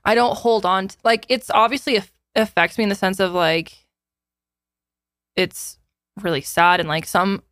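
A woman of twenty-seven chatting casually and candidly as a podcast co-host, informal and quick to swear. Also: medium pitch, fairly even intonation, a bit bright, dry recording, clean studio sound. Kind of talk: casual chat answering listener questions.

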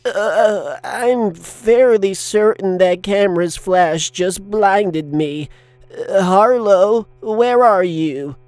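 Slow, prim, earnest sidekick. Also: male voice, sits high, clear, resonant tone. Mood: earnest, polite. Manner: formal, measured, deadpan. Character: earnest, prim, literal-minded male radio sidekick. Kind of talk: scripted children's audio drama acting.

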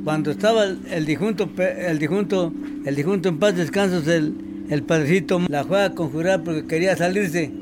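A man with an Oaxacan Mexican accent, interviewed and recounting local lore in a halting way, repeating words. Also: a little high, noisy background. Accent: Oaxacan Mexican Spanish.

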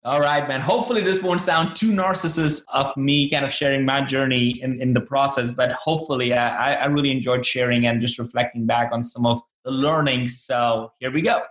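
A man speaks in a casual, effusive interview style. His voice sits at a medium pitch. The sound is noticeably echoey, as if recorded in a reverberant room. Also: fairly even intonation, a little fast, hardly any pauses, fairly bright tone. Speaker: podcast host being interviewed as a guest on own show.

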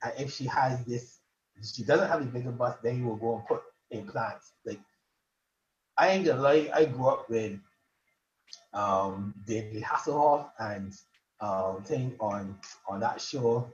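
A man, a guest poet, chatting casually on a podcast and often stumbling over and repeating his words. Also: medium pitch. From Barbados. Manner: halting, stammers and repeats words. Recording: roomy, dull lo-fi sound.

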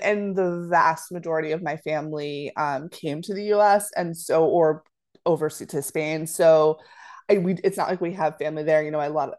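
A woman's voice: low-pitched, a little mellow. A woman author from Florida talking thoughtfully as a podcast guest, with many "you know" fillers. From Florida, United States. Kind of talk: casual interview chat.

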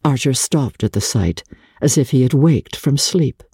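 Female literary narrator, husky and dark-toned, measured and theatrical, with deliberate pauses. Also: very deep voice, expressive, no echo, clean studio sound. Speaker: narrator, audiobook reader.

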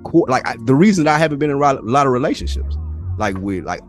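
A man's voice: medium pitch, lively intonation, quick pace. Loose-talking man over a music bed. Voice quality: loose, profane, rambling with many restarts. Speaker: podcast co-host. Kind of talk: casual chat.

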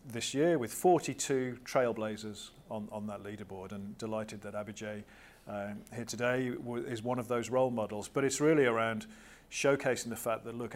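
A man, a guest and social enterprise founder in a podcast interview, speaking fluently and persuasively. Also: medium pitch.

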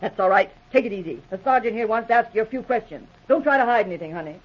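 An actress playing a tough, protective frontier proprietress, blunt and folksy, in 1940s radio drama style. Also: female voice, a little high, expressive, quick pace. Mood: soothing. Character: tough, protective woman running a frontier entertainment house. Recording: dull lo-fi vintage radio recording.

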